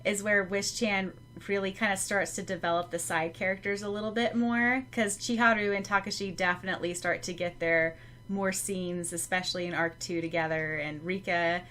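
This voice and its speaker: Bright-voiced chatty podcast woman. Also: mid-range voice, normal speed, slight background noise. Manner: relaxed, rambling, laughs between thoughts. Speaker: podcast co-host and audiobook narrator. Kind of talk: casual chat about a fanfiction chapter.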